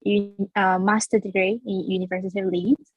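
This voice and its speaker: Woman from Taiwan, founder guest, earnest and restarting often, mid pitch, dull dry sound. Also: level tone, moderate pace, slightly rough, no echo. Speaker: guest, founder of a language school. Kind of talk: casual interview.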